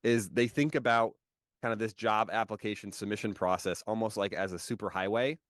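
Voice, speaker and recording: A man's voice: fairly low pitch, fairly quick, no room sound, clean studio sound. A man hosting a business interview, chatting easily and checking in with "right?" as he explains. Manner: conversational, with frequent "kind of" and "right?" checks. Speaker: host.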